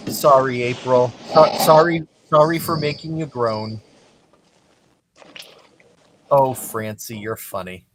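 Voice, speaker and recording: A man with a calm, soothing voice chatting casually and self-deprecatingly as he co-hosts a craft livestream. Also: medium pitch, slow pace, long pauses, a little hiss or hum, low-quality recording. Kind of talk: casual chat while crafting.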